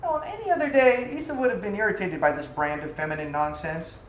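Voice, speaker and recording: A woman reading a literary story aloud as a storyteller, with dramatic, deliberate phrasing. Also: on the low side. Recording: echoey room, faint background noise.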